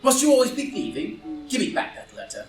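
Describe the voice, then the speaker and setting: A witty gentleman dandy, acted by a man for an audio stage drama, with lively, swooping intonation. He is dry, cool and commanding. The voice sits a little away from the mic in a roomy space. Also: high-pitched, brisk. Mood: stern demand. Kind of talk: live group reading of a stage play as audio drama. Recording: roomy, distant group reading with faint music.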